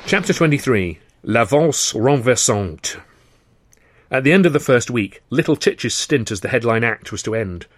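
A man's voice: mid-range voice, expressive, normal speed. A man narrating an audiobook of his own novel in the first person, with a droll storytelling manner.

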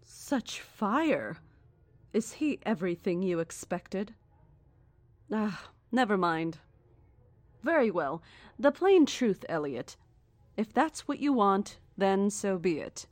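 A woman playing a serene but menacing female cult leader in a horror audio drama, speaking in a deliberate, formal, condescending and grandiose way. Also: mid-range voice, sing-song, dry recording. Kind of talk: horror audio drama acting, live radio address.

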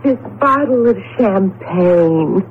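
A woman's voice: medium pitch, lively intonation, takes it slowly, narrow, tinny audio. A woman with an American accent playing a professor's playful, wry, affectionate wife in a 1970s radio drama. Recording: noisy, thin 1970s AM radio recording.

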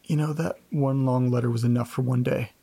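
A man acting in a naturalistic audio drama as a laid-back, sleepy, awkwardly friendly guy with a gravelly, husky voice. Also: medium pitch, steady tone, fairly quick, warm tone. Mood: drowsy, casual. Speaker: lead actor in horror audio drama.

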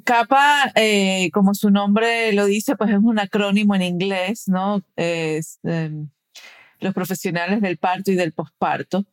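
A woman's voice: mid-range voice, fairly even intonation, a little slow, smooth. A woman from the United States speaking as an interview guest, explaining conversationally and checking in with short tag questions. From raised in the United States. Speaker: podcast guest, perinatal educator and director.

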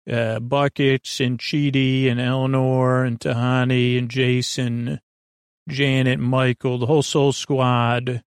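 A man hosting a bedtime podcast in a meandering, halting monologue, trailing off mid-sentence and gently reassuring the listener. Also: medium pitch, gravelly, dry recording, clean studio sound. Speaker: podcast host.

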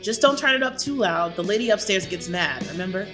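An actress playing a caring, self-assured girlfriend, playful and gently bossy in naturalistic audio drama dialogue. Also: mid-range voice, lively intonation, brisk, over music, natural voice. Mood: teasing, affectionate.

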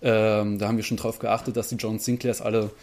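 A man's voice: on the low side, level tone, brisk, a little mellow. A man, a stage actor, talking casually as a guest in an interview.

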